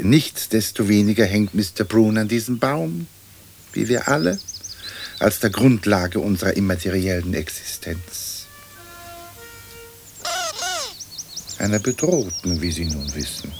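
Pompous ghostly old lord. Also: male voice, lowish voice, expressive, a little slow, noisy background. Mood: ironic, composed. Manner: pompous, ornate, formal diction. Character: ghost of an aristocratic old English lord, dignified, ironic. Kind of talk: radio drama acting.

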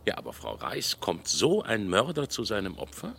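An actor playing a polite, methodical police inspector who asks probing questions in a German radio crime drama. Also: male voice, on the low side, wildly swooping pitch, normal speed, creaky, fairly bright tone, breathy edge, full character voice. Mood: probing, dry.

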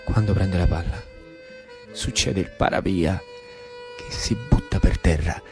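A man with a Roman Italian accent performing a colloquial theatrical monologue for radio as an animated storyteller. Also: low-pitched, a little slow, gravelly, over music. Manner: animated, colloquial, shifting between narration and characters. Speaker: actor and storyteller.

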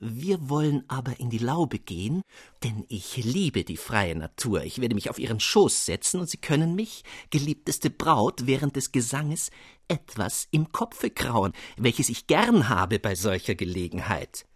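A man's voice: mid-range voice, very animated, a little slow, husky, no echo, studio quality. A man voicing a small, amorous gnome king who speaks in a sweet, coaxing tone. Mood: doting. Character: tender little gnome king, amorous and self-pleased.

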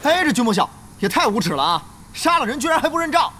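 An actor playing an excitable, exasperated young male guild leader in an online-game audio drama. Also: very high voice, fast, background noise. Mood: indignant, exasperated. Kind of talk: Chinese audio drama acting. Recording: roomy reverb, music bed. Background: background music.

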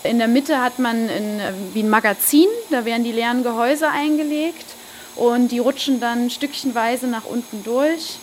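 Higher-voiced woman explaining, hissy workroom noise. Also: normal speed. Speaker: cassette production worker, interviewee. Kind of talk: spontaneous explanation on a work tour. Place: small indoor production room. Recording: hissy background noise of production room. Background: hiss and room noise.

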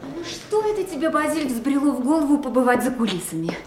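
Coaxing backstage guide, echoey, noisy old tape. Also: female voice, high voice, sing-song, a little fast. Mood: brisk guide. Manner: hurrying, coaxing, knowing. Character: brisk woman guiding a friend backstage. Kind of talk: 1970s radio play acting. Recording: echoey archival tape with hiss.

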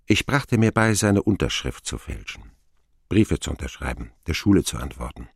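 An actor playing a grown man who wistfully recounts his abandoned boyhood in a radio play, in measured, expressive storytelling. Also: extremely low pitch, husky, no echo, clean studio sound, full character voice. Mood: wry. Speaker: narrator.

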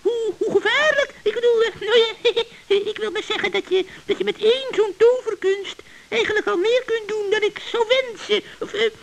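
A woman's voice: very high-pitched, normal speed, creaky, hardly any pauses, poor audio. A woman voicing a scheming, cackling witch in an old children's radio play, fawning and tripping over her words. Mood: sly.

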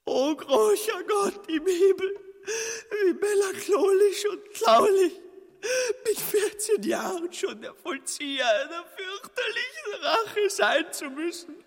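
A woman's voice: very high voice, expressive, slow pace, exaggerated voice. A woman playing a melodramatic fourteen-year-old orphan avenger, declaiming in mock-tragic style in a radio melodrama. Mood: wailing, anguished. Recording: long reverb, faint noise.

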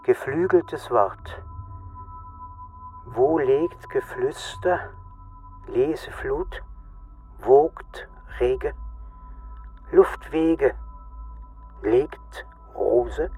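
An actor playing a cryptic reciter of anagram poetry in an experimental radio play, drawing out isolated phrases. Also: male voice, fairly high pitch, warm tone, husky, exaggerated voice.

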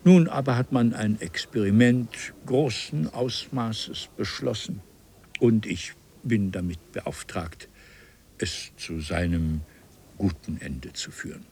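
An actor playing a learned, self-assured traveller from another era in a radio play, speaking in a formal, declamatory manner. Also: male voice, fairly low pitch, slow pace, a little mellow. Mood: proud, formal. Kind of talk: German radio play acting. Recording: 1970s radio play with noise.

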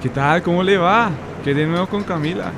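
A man's voice: high-pitched, normal speed, noisy background. A man playing a skeptical, teasing young friend in a radio soap, blunt and slangy with a Bogotá accent. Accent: Colombian, Bogotá. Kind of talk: amateur radio soap opera acting.

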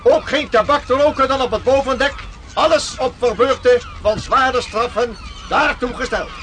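A man's voice: very high voice, normal speed, a bit bright, a little hiss or hum. A man in a radio play acting a stern ship's officer with a formal, barking delivery. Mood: stern, official. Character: stern, commanding ship's officer of sailing days.

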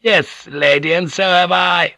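A man's voice: medium pitch, unhurried, no room sound, voice pushed up, heavily acted. A man playing a shrewd, deferential Japanese businesswoman in an audiobook, courteous and insistent. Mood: bitter, urgent. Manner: flattering, insistent, humbly courteous.

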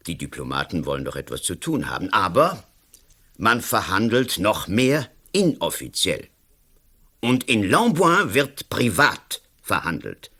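An actor playing a domineering, smug and sly politician in a radio play, with a condescending, theatrical, emphatic delivery. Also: male voice, lowish voice, bright, forceful tone, full character voice. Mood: smug, insistent. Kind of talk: German-language radio drama acting.

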